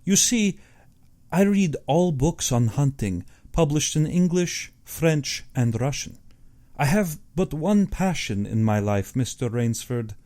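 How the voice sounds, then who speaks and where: A suave aristocratic Russian general, acted by a male audiobook narrator. He speaks with courtly, measured self-satisfaction and a sinister affability. His pitch often lifts and swings as he plays the gracious hunter. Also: expressive, warm tone. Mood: affable, probing.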